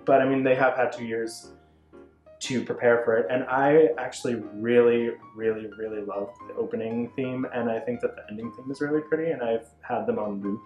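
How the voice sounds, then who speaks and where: A woman with a strikingly low voice shares her views in a casual fan review. She explains herself earnestly, softening points as she goes. The room is echoey, with faint music underneath. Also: fairly even intonation, a little fast, dull audio. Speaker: review show panelist. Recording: roomy echo, faint background music.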